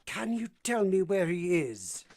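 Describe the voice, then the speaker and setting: An actor playing an imperious, blunt middle-aged English master of the house in a vintage-style radio drama. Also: male voice, sits high, sing-song, a little slow, darkish tone, heavily acted. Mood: brusque, inquisitive.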